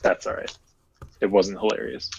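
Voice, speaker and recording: A man's voice: fairly low pitch, moderate pace, gravelly, muffled recording, crowd noise. A man chatting casually and joking with a friend while they play a card game on stream. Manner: joking, playful banter.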